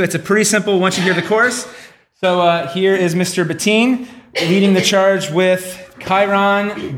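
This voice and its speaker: A man hosting a live variety show in a halting, stammering way, recorded at an echoey live event. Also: high voice, a bit bright, slight background noise. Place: live event in a comics shop. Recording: echoey live room with faint crowd.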